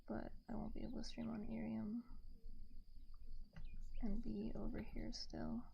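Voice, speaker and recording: A woman live streamer chatting in a gentle, hushed ASMR style, often slipping into whispers on a close binaural microphone. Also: on the high side, level tone, unhurried, creaky. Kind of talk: soft-spoken ASMR stream chat. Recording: dull muffled sound with faint noise.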